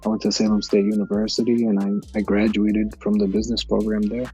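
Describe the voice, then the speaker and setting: A man's voice: on the low side, level tone, brisk, dark tone, breathy edge, faint music behind. A man in his twenties, an actor from Massachusetts, answering interview questions casually with many fillers and restarts. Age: 20s. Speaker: actor guest.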